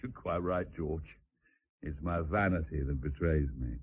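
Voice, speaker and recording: An actor playing an affectionate, vain, war-weary admiral, courtly and hesitant, in a radio drama. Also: male voice, very deep voice, unhurried, husky, narrow, tinny audio, full character voice. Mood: weary, rueful. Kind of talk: British stage-play radio acting.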